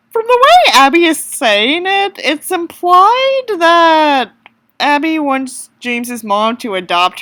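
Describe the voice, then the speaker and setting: Female reader, high lively voice, theatrical and sarcastic, music behind. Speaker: host reading a story aloud with commentary. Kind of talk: mocking dramatic reading with casual asides. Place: small room in an apartment.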